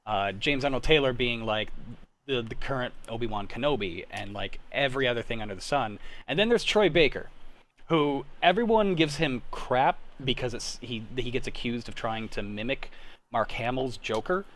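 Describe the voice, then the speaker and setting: A man's voice: mid-range voice, expressive, moderate pace, a bit bright. A man, chatting as a podcast panelist in an emphatic, slangy way, often searching for words. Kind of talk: casual chat.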